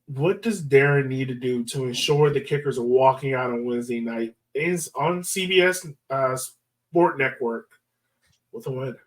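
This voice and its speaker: A man hosts a casual sports chat in informal, slangy language. His voice has a medium pitch and sits back from the microphone, sounding a little distant. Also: normal speed. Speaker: podcast host.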